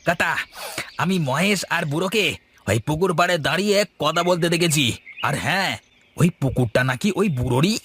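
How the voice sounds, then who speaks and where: A man voicing an eager, hot-tempered henchman of a village boss, excitable and blustering, in a cartoon moral story. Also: fairly high pitch, brisk, a little hiss or hum, close to an everyday voice. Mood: excited.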